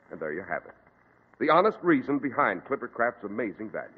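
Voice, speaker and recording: Animated American announcer on tinny old radio. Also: male voice, mid-range voice. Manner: polished salesman delivery, formal. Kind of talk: 1940s radio announcing and sponsor pitch. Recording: husky, tinny 1940s radio broadcast, reverberant.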